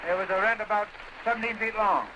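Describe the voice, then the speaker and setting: A man's voice: high voice, steady tone, quick pace, background noise. A man in a 1930s shortwave radio conversation, matter-of-fact and a little hesitant. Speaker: balloon pilot in a radio conversation.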